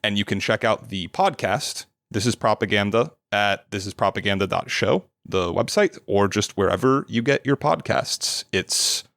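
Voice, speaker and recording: A man's voice: lowish voice, moderate pace, vocal fry, few pauses, no echo. A man who is a guest player on a tabletop game podcast, chatting casually about the session and restarting sentences as he looks for words. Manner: relaxed, searching for words with restarts. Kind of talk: casual post-game chat.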